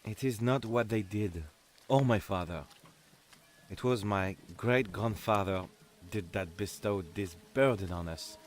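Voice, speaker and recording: A man's voice: fairly low pitch, unhurried, a little hiss or hum, close to an everyday voice. A man playing a stern, pious young medieval archer in an audiobook drama, speaking formally and without emotion. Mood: solemn, burdened. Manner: solemn, flat, formal. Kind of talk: audiobook character acting.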